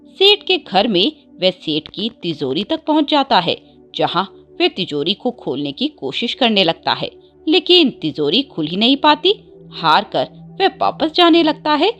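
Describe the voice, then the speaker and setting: A woman's voice: medium pitch, big pitch swings, unhurried, a bit bright, muffled recording, soft background music. A woman narrating a scripted devotional story as a storyteller.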